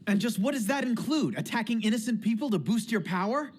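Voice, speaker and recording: A man playing an earnest, kind and stubborn teenage boy in an English anime dub, talking in a casual, expressive way. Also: sits high, fast, husky. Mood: challenging. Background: loud guitar music.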